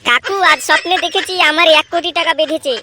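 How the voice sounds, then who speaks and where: Woman doing an excitable high-pitched cartoon villager. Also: quick pace, a little hiss or hum. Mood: eager. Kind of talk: comedy cartoon voice acting.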